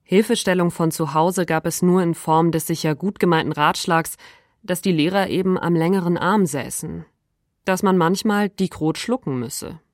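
A woman reads quoted passages aloud, calm and deliberate. Her voice sits somewhat low and sounds dry and close to the mic. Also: dry recording. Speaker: reader of quotations. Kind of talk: reading quoted text.

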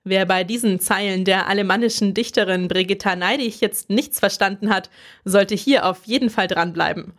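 A female radio reporter reads her scripted feature narration clearly and at a measured, even pace. Her voice sits at a middle pitch, neither high nor low.

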